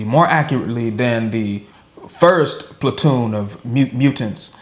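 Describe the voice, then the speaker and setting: Measured male reaction co-host, medium pitch. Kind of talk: conversational commentary.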